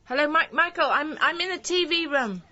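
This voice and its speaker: A woman's voice: high voice, lively intonation, brisk, sharp tone, dull audio, slight background noise. An actress with a British accent playing an exasperated, wounded wife and new mother in a radio comedy drama, blunt and bitter. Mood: wounded, firm. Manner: sarcastic, blunt.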